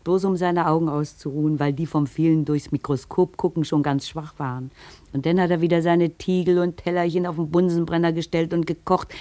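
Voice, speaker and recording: An actress playing a brash, earthy middle-aged Berlin hairdresser in a radio play, telling stories in a colloquial, no-nonsense way. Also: female voice, low voice, mellow, close to an everyday voice. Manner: colloquial, vivid, no-nonsense storytelling. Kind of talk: German radio play acting.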